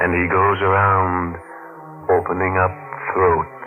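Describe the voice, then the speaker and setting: Slow, deep, ghoulish horror host, played by a man. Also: level tone, bright, forceful tone, heavily acted. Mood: gleefully macabre. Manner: macabre, mock-genial, drawn-out delivery. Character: ghoulish, sardonic male horror-show host with morbid humour. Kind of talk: 1940s radio horror hosting. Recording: muffled 1940s radio broadcast with music.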